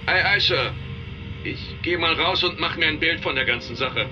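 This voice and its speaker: A man's voice: on the high side, moderate pace, sharp tone, muffled recording, soft background music. A man playing an easygoing shuttle crewman in a science fiction radio drama. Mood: breezy.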